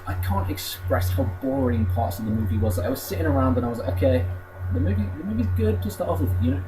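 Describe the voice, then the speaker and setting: A man's voice: medium pitch, low-quality recording. A man with an English accent giving a casual, rambling movie review in an unenthusiastic, conversational way. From England. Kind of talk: casual spoken review to camera. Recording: music playing behind the voice. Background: music.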